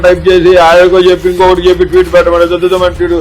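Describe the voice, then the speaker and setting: A man's voice: high-pitched, monotone, very fast. A man in a heated political interview as the guest, combative, repeating himself and cutting off the questioner. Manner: combative, repetitive, cuts off questioner.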